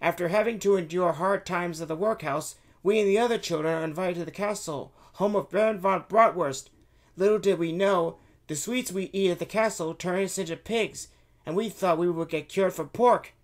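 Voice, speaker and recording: A man voicing an eager young boy excitedly recounting an adventure in a raised voice. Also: high-pitched, moderate pace, pitched above the performer's own voice, lightly acted. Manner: excited, breathless storytelling.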